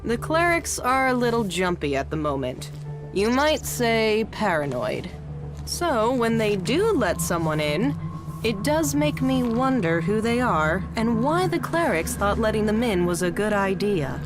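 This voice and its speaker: A woman's voice: mid-range voice, very animated, measured pace, over music. A woman playing a shrewd, jaded smuggler in a video game, speaking in a sardonic, sizing-you-up way. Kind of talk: video game dialogue acting.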